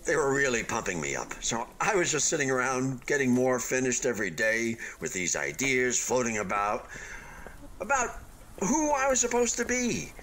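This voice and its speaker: An actor in a radio play voicing an abandoned, unfinished hotel building, wistful and defensive, chatting in hesitant, earnest philosophical musings. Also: male voice, medium pitch, fairly bright tone, low-quality recording. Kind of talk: radio play acting, conversational monologue.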